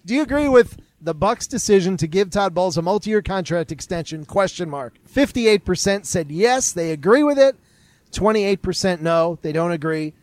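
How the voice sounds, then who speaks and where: High-voiced man hosting casual sports talk. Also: expressive, moderate pace, darkish tone, no room sound. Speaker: sports talk show host.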